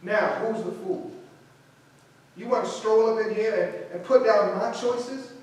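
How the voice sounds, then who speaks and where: High-voiced American man, a stage actor's role, struggling but proud idealist, recorded far off with heavy echo. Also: measured pace, darkish tone. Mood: impassioned, firm. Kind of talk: theatrical stage dialogue. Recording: very echoey hall, distant microphone.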